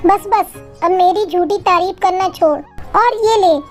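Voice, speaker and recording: A woman's voice: extremely high pitch, unhurried. A woman voicing a doting mother-in-law bird in a cartoon, motherly and insistent. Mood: fussing, affectionate. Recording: faint music behind.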